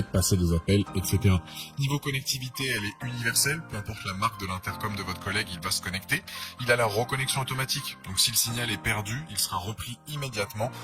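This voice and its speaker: A man presenting a scripted product review as narration. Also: fairly low pitch. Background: background music.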